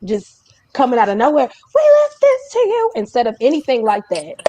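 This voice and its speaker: A woman hosting a casual interview chat, telling things in an animated, emphatic way. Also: sits high, normal speed, dry recording, low-quality recording. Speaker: host.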